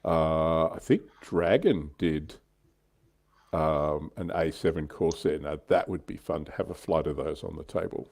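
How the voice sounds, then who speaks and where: A man's voice: very deep voice, measured pace, creaky, a little mellow. A man co-hosting a hobby livestream, chatting casually in a thoughtful, slightly hesitant way.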